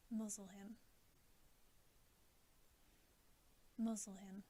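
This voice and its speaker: A woman voicing a cruel, gloating storybook witch queen in a breathy, deliberate, commanding whisper. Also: mid-range voice, lightly acted. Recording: steady audible hiss.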